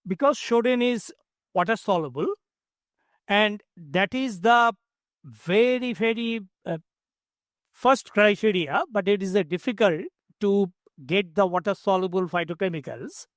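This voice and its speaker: A man giving explanatory answers as an expert panelist, halting and repeating words for emphasis. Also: sits high, dry recording.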